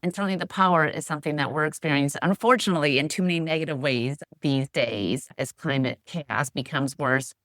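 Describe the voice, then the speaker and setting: Low-voiced middle-aged woman hosting. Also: a little fast, few pauses, fairly bright tone, dry recording, studio quality. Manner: rambling, thinks aloud with fillers. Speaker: podcast co-host. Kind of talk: conversational interview.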